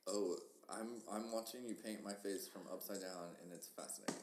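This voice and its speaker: A man's voice: sits low, fairly even intonation, fairly quick, darkish tone. Nasal, wry man in echoey room. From Kansas. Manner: wry, self-mocking, rambling. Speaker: podcast host. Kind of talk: casual chat. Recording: echoey room with faint hiss.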